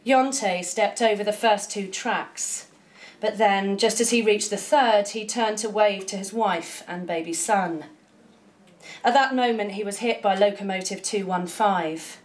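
A young adult woman giving a measured, declamatory reading of poetry as one of two voices, in a small cafe. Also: medium pitch. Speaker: poetry reader. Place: small indoor cafe with bookshelves.

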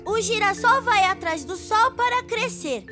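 A woman's voice: very high-pitched, animated, unhurried, over loud music. A woman playing an observant, cheerful little boy owlet in a children's cartoon, childlike and full of wonder. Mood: explaining.

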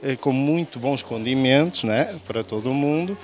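A man's voice: mid-range voice, normal speed. A man being interviewed with a handheld mic in a restaurant dining room, speaking hesitantly, searching for words and restarting phrases. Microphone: handheld reporter mic.

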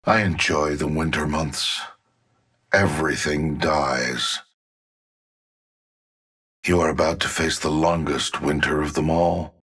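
Super deep raspy game hunter guy, slow n menacing, deathly cold. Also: fairly bright tone, heavily acted. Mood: cold menace. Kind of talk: video game taunt lines.

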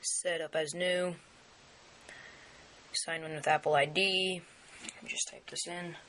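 A man's voice: on the high side, fairly even intonation, unhurried, bright, forceful tone, slight background noise. A young man who is losing his voice, walking viewers through a phone setup in a casual, rambling way with many fillers. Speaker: tech video maker. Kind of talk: casual screen walkthrough. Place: indoors at a wooden table. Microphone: camera pointed down at a device on a table.

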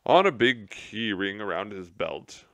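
A man's voice: lowish voice, sing-song, measured pace, no room sound. A man voicing a pompous, self-pitying old male mouse general who talks in a grand, theatrical wail. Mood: glum. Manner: theatrical, wailing, grandly indignant.

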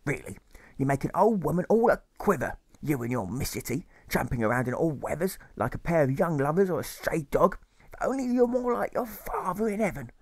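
A man's voice: fairly high pitch, very animated, a little fast, dry recording, voice pushed up. A man voicing a fretful, doting old mother in an audiobook, theatrical and repeating phrases insistently. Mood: nagging, exasperated. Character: fretful, doting, nagging working-class old English mother. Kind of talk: audiobook character voice.

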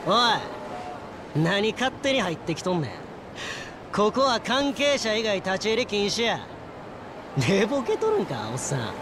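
ゲームのカットシーンで、関西弁で凄む横柄な下っ端ヤクザを演じる男性俳優。. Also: male voice, sits high, wildly swooping pitch, unhurried, background noise, exaggerated voice. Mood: hostile, mocking. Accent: Kansai. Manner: snarling, mocking, aggressive. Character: brash, bullying low-ranking yakuza thug. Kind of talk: video game cutscene acting.

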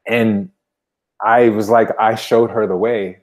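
Low-voiced man in casual conversation. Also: little pitch movement, takes it slowly. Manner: informal, anecdotal, frequent "you know". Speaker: podcast guest. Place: indoor room at home, video call. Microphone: wired earbuds on a video call.

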